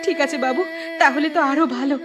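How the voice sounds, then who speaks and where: A woman's voice: high-pitched, fairly even intonation, quick pace, lightly acted. A woman playing an anxious, morally firm village wife in a cartoon, speaking in an earnest, insistent way. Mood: anxious, pleading. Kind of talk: cartoon dialogue acting.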